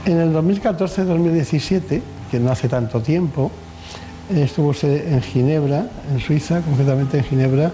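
Mellow higher-voiced male radio host. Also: moderate pace, a little hiss or hum, rough recording. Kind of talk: health interview with introductory narration.

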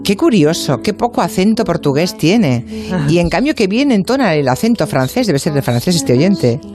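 A man's voice: high voice, sing-song, moderate pace, over loud music. A man hosting a radio interview in a studio, joking and teasing his guest. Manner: playful, joking. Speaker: radio host.

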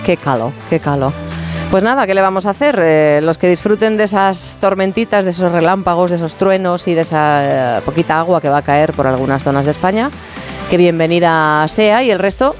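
A woman's voice: fairly low pitch, faint music behind. Chatty woman hosting radio. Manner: chatty, playful, quick asides. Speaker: radio host. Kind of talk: casual radio chat.

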